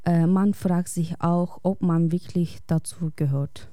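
A woman speaking as a radio guest, reading a prepared personal essay in a calm, reflective and measured way. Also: on the low side, no room sound, clean studio sound.

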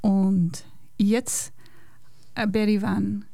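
Relaxed, mellow woman presenting on radio. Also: mid-range voice, extremely slow, gravelly, no echo, clean studio sound. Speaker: radio host.

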